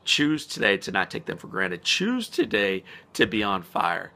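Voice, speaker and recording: A man speaking as a motivational speaker in an inspirational vlog. He talks earnestly and slows down to stress key words. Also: lowish voice, wildly swooping pitch, pushed and bright. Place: outdoors on a spring morning.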